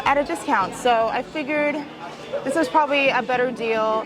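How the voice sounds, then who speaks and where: A woman talking casually to camera as a vlog host, chatty and slangy as she explains things. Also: on the high side. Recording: background music, busy crowd outdoors.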